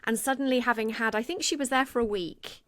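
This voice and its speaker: Animated woman co-host, dry sound. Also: fairly high pitch, fast talker, no room sound. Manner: animated, acts out quoted speech. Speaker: podcast co-host. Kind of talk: conversational storytelling.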